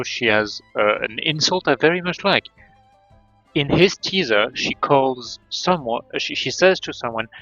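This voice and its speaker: A man streaming a game, chatting casually and haltingly, repeating words as he explains things. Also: medium pitch, fairly bright tone, no room sound. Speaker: live streamer. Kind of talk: casual livestream chat while gaming. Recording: dull lo-fi mic, faint music behind. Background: faint game music.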